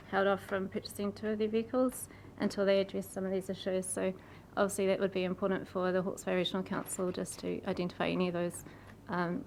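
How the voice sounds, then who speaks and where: A woman with a croaky, gravelly voice reads a prepared submission to a council meeting. Her pitch stays flat and even. She speaks earnestly and apologizes for her stumbles. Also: slight background noise. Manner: earnest, apologetic, laughs nervously at slips. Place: council chamber.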